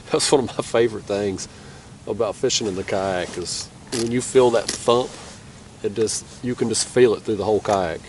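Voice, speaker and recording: A man's voice: on the low side, normal speed, darkish tone. A young man, nasal from a cold, chatting casually as he narrates a night fishing trip from a kayak on a river. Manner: laid-back, chatty, drawling. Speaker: fishing vlogger. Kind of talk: casual outdoor vlog narration. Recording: distant camera mic outdoors, faint noise.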